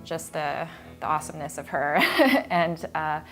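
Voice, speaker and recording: An American-born woman artist talking thoughtfully about her installation in a gallery, with many ums and pauses. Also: low voice, animated, soft background music. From United States. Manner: hesitant, many ums and uhs, reflective. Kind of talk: artist talk at an exhibition. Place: art gallery.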